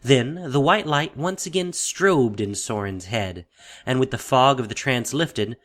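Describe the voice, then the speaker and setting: Expressive male fantasy story narrator. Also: medium pitch, unhurried, soft background music.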